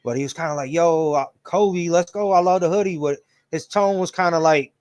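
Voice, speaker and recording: A man's voice: a little high, fairly quick, darkish tone, no echo. A man in his late thirties co-hosting a casual sports chat, laid-back and profane, full of slang. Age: 30s. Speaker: podcast co-host.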